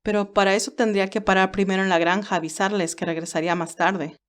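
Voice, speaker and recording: A woman's voice: mid-range voice, little pitch movement, fast talker, close to an everyday voice. A woman voicing a friendly, plain-spoken male farmer who drives a cart in an audio story. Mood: obliging. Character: obliging male farmer driving a milk cart.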